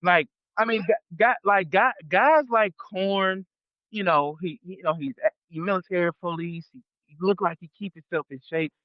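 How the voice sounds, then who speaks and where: High-voiced man in his thirties, blunt. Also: moderate pace, dry recording. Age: 30s. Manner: blunt, emphatic, profane ranting. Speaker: podcast co-host. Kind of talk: casual podcast chat. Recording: dull, dry, lo-fi sound.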